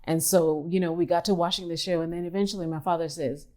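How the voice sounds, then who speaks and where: Low-voiced, mellow woman artist. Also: fairly even intonation. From Kenya. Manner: thoughtful, measured, with pauses and restarts. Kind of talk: reflective interview talk.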